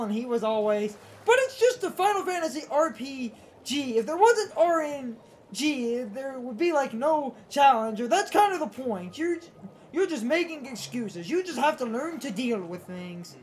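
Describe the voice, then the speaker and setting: A woman's voice: fairly high pitch, darkish tone, a little hiss or hum. A woman chatting casually as a gaming commentator, with a blunt, opinionated way of talking. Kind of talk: casual unscripted gaming chat.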